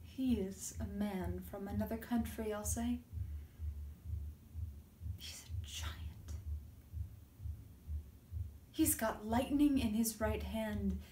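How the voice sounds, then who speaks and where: A woman's voice: mid-range voice, slow pace, long pauses, dark tone, lightly acted. A woman performing a stage drama monologue as a tender, devoted young woman in love, earnest and poetic. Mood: proud, tender. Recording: roomy, distant mic, faint noise.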